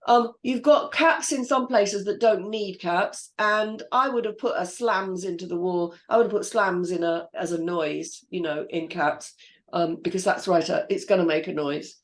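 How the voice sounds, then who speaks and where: A woman's voice: on the low side, normal speed. A woman hosting a script reading panel and giving notes on formatting. She thinks aloud and hesitates with frequent fillers. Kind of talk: casual feedback discussion.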